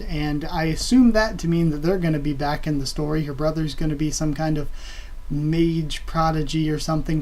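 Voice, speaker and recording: Casual vlog reviewer, raspy from a cold, quiet voice, rambling delivery, blunt wording. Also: medium pitch, rough recording. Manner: rambling and casual, with many fillers and blunt swearing. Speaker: anime reviewer.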